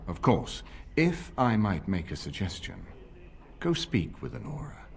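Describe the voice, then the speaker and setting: An actor playing a shrewd, courteous nobleman giving counsel in a fantasy game, formal and deliberate. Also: sits low, sing-song, vocal fry, slight background noise, full character voice. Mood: grave, advising. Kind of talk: fantasy RPG dialogue. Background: game music.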